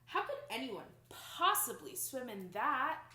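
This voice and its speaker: A young adult woman reading a picture book aloud to children in an expressive, emphatic storytelling manner. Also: high voice, a little slow. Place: small plain indoor room. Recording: roomy indoor echo.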